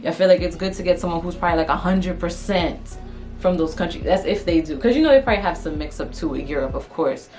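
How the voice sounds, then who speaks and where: A woman's voice: fairly low pitch, fast talker, darkish tone. A woman from Antigua and Montserrat co-hosting a casual conversation, earnest and repeating her point. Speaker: co-host. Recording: faint music underneath.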